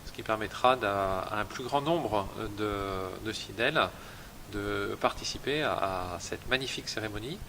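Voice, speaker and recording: A man who directs a seminary, explaining its history and numbers in a measured, hesitant way with many fillers. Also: lowish voice, sharp tone, slight background noise. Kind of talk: explanatory interview.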